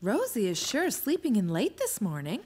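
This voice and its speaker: A woman playing a cheerful, warm young mother in a children's cartoon. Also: medium pitch, wildly swooping pitch, moderate pace, music in the background.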